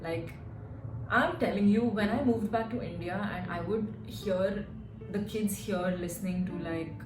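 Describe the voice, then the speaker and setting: A young woman in her twenties chats to camera as a fitness coach, telling her stories in a lively, animated way. Her mid-pitched voice rings around a reverberant room. Music plays clearly underneath. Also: a little slow. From Singapore. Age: 20s. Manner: chatty, animated storytelling with frequent "like" and "right?". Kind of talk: casual vlog chat. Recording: echoey room with music behind.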